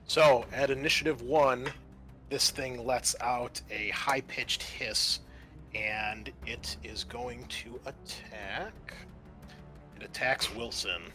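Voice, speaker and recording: A man runs a horror tabletop game as game master. He narrates slowly in a bright, forceful voice. Music plays underneath him. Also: mid-range voice, steady tone.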